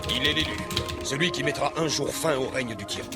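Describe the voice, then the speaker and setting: An actor voicing a dignified, patient immortal mentor in a dubbed cartoon, with a formal, instructive manner. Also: male voice, medium pitch, a little fast, close to an everyday voice. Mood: earnest. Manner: formal, didactic. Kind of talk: French-dubbed 1990s cartoon acting. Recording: loud music behind the voice.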